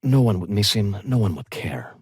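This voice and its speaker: An actor playing a fussy, haughty luxury shopkeeper in a sci-fi video game, with a refined, expressive and snippy delivery. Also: male voice, lowish voice, moderate pace, husky, no echo, studio quality, full character voice. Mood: worried, earnest. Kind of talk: video game voice acting, short standalone dialogue lines.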